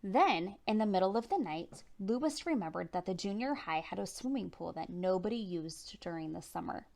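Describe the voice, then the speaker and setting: A woman reading a children's picture book aloud as storytime narrator, with animated, dramatic emphasis. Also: medium pitch, normal speed, vocal fry. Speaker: storytime reader.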